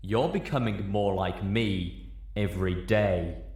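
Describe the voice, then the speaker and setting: Guy doing a low villain, mocking and menacing, slow, storybook reading. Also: darkish tone, roomy sound.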